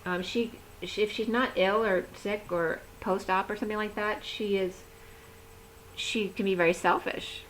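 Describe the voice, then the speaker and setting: A woman giving a rambling, chatty tarot reading, talking with a voice that is going hoarse. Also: mid-range voice, steady tone, normal speed, a little hiss or hum, rough recording. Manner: chatty, rambling, laughs easily. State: losing her voice. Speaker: tarot reader. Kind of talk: casual tarot reading to camera.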